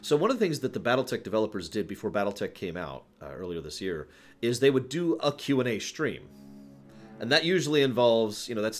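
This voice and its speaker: A man hosting a livestream, chatting casually and opinionatedly with his viewers in an emphatic, conversational way. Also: fairly low pitch. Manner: emphatic, conversational, breaks off to address chat. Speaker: livestream host.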